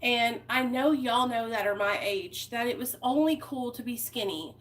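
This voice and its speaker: A woman's voice: fairly high pitch, normal speed, a bit bright, low-quality recording. Candid woman in her thirties. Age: 30s. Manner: candid, heartfelt, rambling with fillers. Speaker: vlogger. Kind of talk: personal recovery vlog, talking to viewers.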